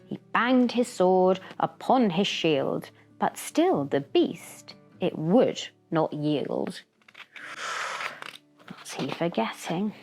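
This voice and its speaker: A woman's voice: lowish voice, lively intonation, extremely slow, darkish tone. A woman reading a rhyming children's story dramatically, with asides to young listeners. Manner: dramatic, with gasps and whispered asides. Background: background music.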